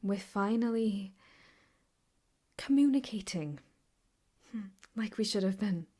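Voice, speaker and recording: A woman playing an obsessive, possessive yandere ex-girlfriend in an improvised ASMR roleplay, speaking intimately and haltingly, often slipping into whispers. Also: mid-range voice, lively intonation, warm tone. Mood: coaxing, possessive. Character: obsessive, possessive yandere ex-girlfriend holding her captive lover.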